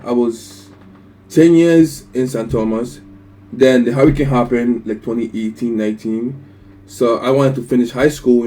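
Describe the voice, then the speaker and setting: A man's voice: medium pitch, warm tone, rough recording. A 24-year-old man from the Dominican Republic with a Caribbean English accent from the Virgin Islands, rambling casually through unedited vlog questions, swearing and saying "you know what I mean" constantly. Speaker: vlogger answering viewer questions.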